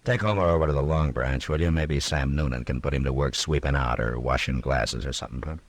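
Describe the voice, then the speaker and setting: An American actor playing a calm, firm, fair-minded frontier marshal in an old-time radio western, speaking in a laconic, dryly wry way. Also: male voice, a bass voice, a little fast, no room sound. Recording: old radio drama, music behind.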